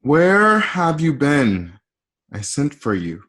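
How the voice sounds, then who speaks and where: A man acting a menacing, possessive young Roman emperor in an amateur radio drama, with a deliberate, theatrical delivery. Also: fairly high pitch, big pitch swings, a bit bright, exaggerated voice. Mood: cold menace.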